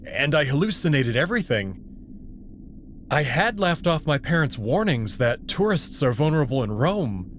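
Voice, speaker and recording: A man narrating a story in the first person in a dramatic style, with faint noise in the recording. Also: a little high.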